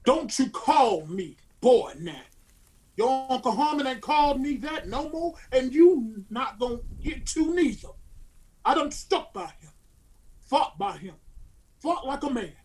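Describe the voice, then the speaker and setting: Proud, respectful Southern freedman for a radio play, high-pitched voice, folksy and sincere. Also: animated, measured pace, darkish tone, rough recording. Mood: wounded, defiant. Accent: American Southern. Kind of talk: radio drama acting.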